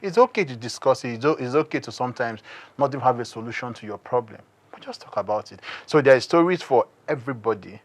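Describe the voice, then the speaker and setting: A man's voice: medium pitch, expressive, fast talker. A Nigerian man speaking as a guest director in a studio interview, explaining conversationally and repeating points for emphasis.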